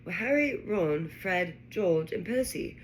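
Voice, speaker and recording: A woman putting on a British accent to read a children's storybook aloud in a measured, expressive way. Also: lowish voice, slight background noise, poor audio. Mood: storytelling. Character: British storybook narrator reading a wizard school tale. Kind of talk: scripted narration.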